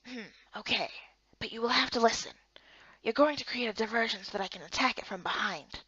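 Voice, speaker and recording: Woman voicing a hushed, teasing young male adventurer. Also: a little high, a little fast, breathy edge, muffled recording. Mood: calm, commanding. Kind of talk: visual novel game character dub.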